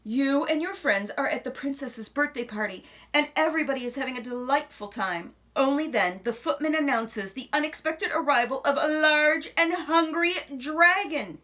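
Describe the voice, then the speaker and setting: A woman reading a children's picture book aloud expressively, with dramatic pauses and hushed asides. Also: high voice.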